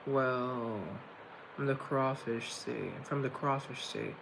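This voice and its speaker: A young man from Colombia hosts a relaxed livestream, rambling as he reads viewer comments. His voice carries a gravelly fry, and steady background noise hums under a laptop mic. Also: medium pitch, slow pace. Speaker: livestream host reading chat. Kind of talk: casual livestream chat.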